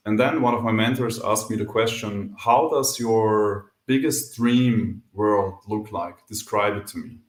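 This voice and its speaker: A man's voice: on the low side, measured pace, few pauses, echoey room, rough recording. A man from Austria with an Austrian German accent, a podcast guest speaking English as a second language, talking thoughtfully and candidly with frequent hesitations. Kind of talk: casual interview chat.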